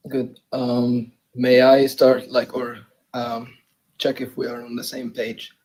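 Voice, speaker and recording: Young man from Egypt, husky, monotone, hesitant debater. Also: mid-range voice, slow, poor audio. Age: young adult. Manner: hesitant, many fillers and restarts. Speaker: debate participant. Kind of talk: live debate chat.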